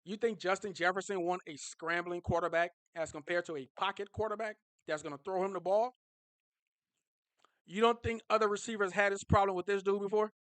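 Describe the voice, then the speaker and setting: A young man ranting about his team, high-pitched and bright, animated and emphatic, often repeating a phrase to drive it home. He speaks close to the mic, and the sound is dry. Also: fairly quick, smooth, no echo. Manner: animated, repetitive, laughing, emphatic. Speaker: sports fan vlogger. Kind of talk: casual fan reaction rant.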